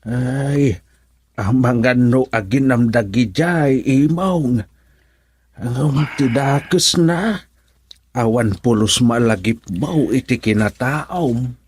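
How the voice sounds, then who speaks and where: An actor playing a concerned older fatherly man in an Ilocano radio drama, unhurried and soothing. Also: medium pitch, a little mellow, husky. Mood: calming.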